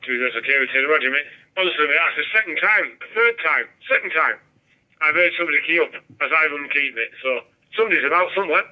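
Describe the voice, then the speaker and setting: A man's voice: on the high side, animated, quick pace. A man with a northern English accent chatting casually over a digital two-way radio, rambling and jokey, with a muffled transmission sound. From Barrow-in-Furness, northern England. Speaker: amateur radio operator.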